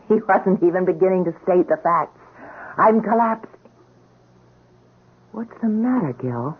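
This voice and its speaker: An actress playing a police sergeant's loyal, outspoken wife in a radio drama, emotional, blunt and tender. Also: female voice, fairly low pitch, animated, a little slow, slightly rough. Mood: teasing, affectionate. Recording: muffled narrow-band radio drama recording.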